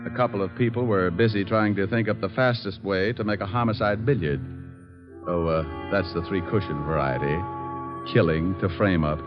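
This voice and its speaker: Low-voiced wisecracking actor as a radio private eye. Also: male voice, normal speed, lightly acted. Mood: wry. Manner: breezy, sardonic, slangy delivery. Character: wisecracking flirtatious hard-boiled male private detective. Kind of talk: 1940s-50s radio drama acting and narration. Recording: muffled old radio recording, music behind.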